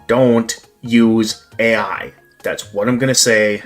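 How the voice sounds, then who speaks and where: A man hosting a game livestream, talking in a measured way with many "like"s. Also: lowish voice, steady tone, soft background music. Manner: measured, lots of "like" and "again". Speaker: streamer host. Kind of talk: casual livestream chat.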